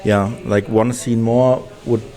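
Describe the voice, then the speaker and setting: A man's voice: mid-range voice, little pitch movement, dark tone, rough recording. Hesitant man chatting in an interview. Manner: hesitant, halting, searching for words. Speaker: film director being interviewed. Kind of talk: casual interview talk.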